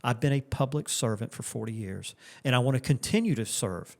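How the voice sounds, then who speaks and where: A man's voice: medium pitch, fairly quick, vocal fry, a little mellow. Earnest fifty-something man, plain answers. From Florida. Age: 50s. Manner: earnest, repetitive, with restarts. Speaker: congressional candidate. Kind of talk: interview answers.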